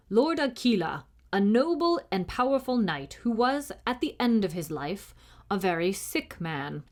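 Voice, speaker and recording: A woman voicing a proud, formal, easily indignant tiny knight in a storybook, with old-fashioned dignified speech. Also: medium pitch. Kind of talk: storybook character reading.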